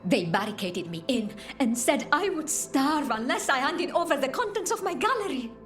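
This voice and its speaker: A woman's voice: high-pitched, big pitch swings, moderate pace, fairly bright tone. Theatrical, genteel woman gallery owner. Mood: indignant. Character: refined, dramatic woman gallery owner, indignant and grateful. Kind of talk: video game voice acting. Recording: music behind.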